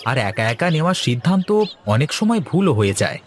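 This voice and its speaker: A man voicing an agreeable, expressive village shopkeeper, the elder brother in a Bengali cartoon. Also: medium pitch, brisk, slight background noise, natural voice. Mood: considerate.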